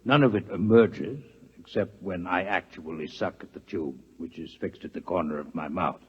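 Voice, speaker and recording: An actor playing a courteous, scholarly alien doctor in a 1950s radio drama, speaking formally, precisely and gravely in a husky voice. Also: male voice, low voice, moderate pace. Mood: measured, courteous. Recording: muffled vintage 1950s radio recording.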